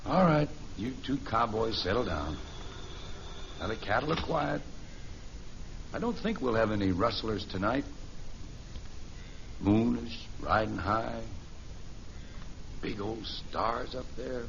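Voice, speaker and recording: An actor playing a gentle, easygoing middle-aged father in a 1950s radio sitcom, soft-spoken and understated. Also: on the low side, animated, slow pace, gravelly, long pauses, heavily acted. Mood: soothing. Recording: muffled old radio recording, faint hiss.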